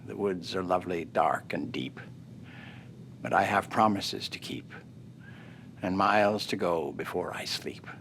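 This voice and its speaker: A man giving a dramatic poetry reading in a measured way, often dropping to a hush at line ends. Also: low voice, gravelly, a little hiss or hum.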